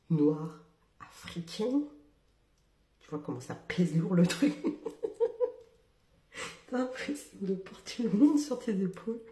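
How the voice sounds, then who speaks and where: A woman's voice: low voice, mellow, echoey room. A young woman from metropolitan France talking ironically to camera, laughing often and dropping into whispered asides. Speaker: social media creator. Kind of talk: casual monologue to camera.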